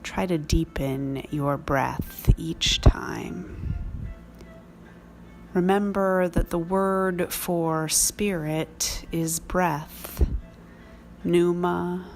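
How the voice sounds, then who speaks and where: A woman leading a guided prayer meditation in measured, reflective phrases with long pauses. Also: fairly low pitch, vocal fry, a little mellow.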